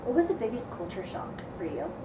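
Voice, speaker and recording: Woman asking interview questions, brisk, kinda far off mic, noisy bg. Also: mid-range voice, very animated. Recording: roomy, distant mic, background noise.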